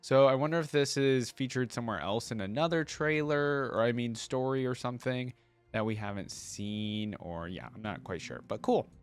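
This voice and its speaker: A man's voice: medium pitch, moderate pace, smooth, no echo, soft background music. A man giving casual reaction commentary as a video host, chatty and enthusiastic as he thinks aloud.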